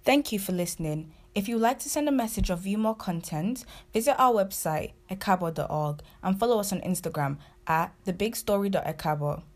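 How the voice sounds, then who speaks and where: A woman reading a scripted podcast outro in a calm, even way. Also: mid-range voice, fairly quick, hardly any pauses. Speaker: outro announcer.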